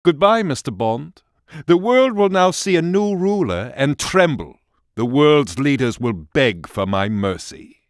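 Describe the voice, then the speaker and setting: A megalomaniac male villain for a video game. He declaims pompously in a slightly high, animated voice, grandiose and menacing, captured close and dry. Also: measured pace, dry recording. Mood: triumphant menace.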